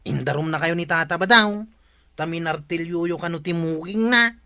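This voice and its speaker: Sharp, insistent Ilocano villager. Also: male voice, fairly high pitch, moderate pace, vocal fry, clean tone, exaggerated voice. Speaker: actor in an Ilocano radio comedy drama. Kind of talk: radio comedy acting.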